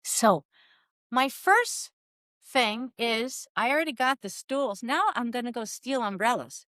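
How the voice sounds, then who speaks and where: Woman, sixties, animated interview guest, acts out quotes, higher pitch, dry recording. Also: a little slow, no echo. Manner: lively, acts out quoted dialogue. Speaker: former cycling team soigneur, guest.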